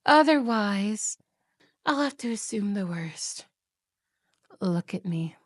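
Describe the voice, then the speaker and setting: Slow-speaking, haughty evil queen played by a woman. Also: medium pitch, expressive, no echo, studio quality. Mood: cold menace. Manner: imperious, menacing, theatrical. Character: jealous, cruel evil queen stepmother, haughty and volatile. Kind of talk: fantasy ASMR audio roleplay.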